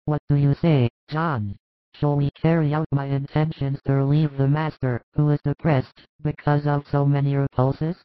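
A man's voice: a little high, little pitch movement, moderate pace, clear, resonant tone, no echo, lightly acted. A man voicing a worried, devoted apostle who speaks in an earnest, pleading way for an audiobook. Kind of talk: audiobook dialogue reading. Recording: dull lo-fi dry recording.

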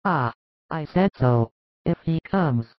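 A man's voice: fairly high pitch, animated, slow, no room sound, pitched above the performer's own voice. A male narrator voicing grieving young sisters, pleading and emotional, with a raised, strained delivery. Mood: hopeful. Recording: dry, dull lo-fi recording.

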